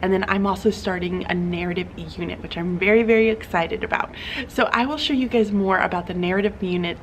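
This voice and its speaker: A woman's voice: on the low side, fairly quick, a little hiss or hum. Chatty woman teacher vlogging. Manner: chatty, upbeat, lots of fillers. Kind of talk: casual classroom vlog. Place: classroom.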